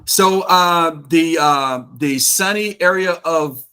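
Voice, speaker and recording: A man's voice: on the high side, slow, bright, forceful tone, smooth. An American man in his fifties chatting and telling stories as an interview guest, in an enthusiastic, affable manner. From Georgia, United States. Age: 50s. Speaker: interview guest, real estate investor. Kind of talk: casual conversational storytelling.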